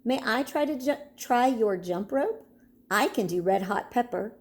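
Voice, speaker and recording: A woman voicing a bossy, braggy, lively six-year-old girl bear cub in a children's storybook. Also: a little high, measured pace. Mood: boastful.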